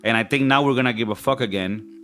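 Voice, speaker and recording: A man co-hosting a casual podcast, with a raspy voice at an ordinary male pitch. He rambles along in a joking, loose style and swears freely. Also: fast talker, bright, forceful tone, soft background music. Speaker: podcast co-host.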